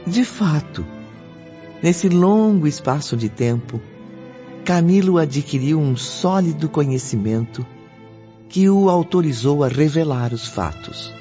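A Brazilian man narrates a radio drama from a script. His mid-pitched voice is very soft-edged and mellow, with lively intonation. Faint music plays behind. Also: measured pace, dull audio.

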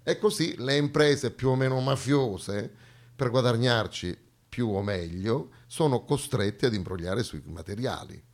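A man with a southern Italian accent delivering a satirical stage monologue in a dramatic, sarcastic, expressive storytelling manner. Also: mid-range voice, unhurried.

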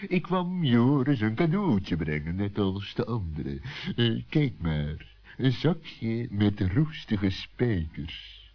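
A man voicing a sly, ingratiating fox in a children's radio play, with a drawling, smooth-talking manner. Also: on the low side, big pitch swings, takes it slowly, heavily acted. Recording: muffled vintage 1960s radio recording.

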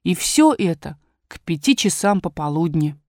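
A woman's voice: fairly low pitch, big pitch swings, moderate pace, a little mellow, no room sound, studio quality, voice pushed down. A woman voicing a proud, vain little male kangaroo who pleads and demands, in a storybook reading. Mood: earnest, demanding. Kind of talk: storybook character voice.

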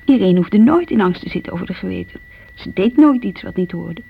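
An actress playing a lonely, guilt-ridden younger daughter in a Dutch radio drama, confessing hesitantly in a creaky, sometimes breathy voice. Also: female voice, medium pitch, animated, a little fast. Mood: guilty, wistful. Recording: muffled, noisy old radio recording.

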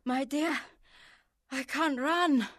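A man's voice: extremely high pitch, very slow, fairly bright tone, no echo, studio quality, voice pushed up, heavily acted. A man voicing a stubborn middle-aged mother who keeps an inn, speaking firmly in an audiobook. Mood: breathless. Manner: firm, insistent.

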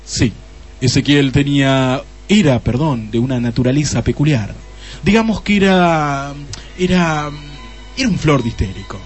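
An actor playing a grave, melodramatic narrator with an Argentine accent in a parody radio drama. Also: male voice, medium pitch, lively intonation, normal speed, slightly rough, soft background music. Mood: confiding. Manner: mock-solemn, portentous.

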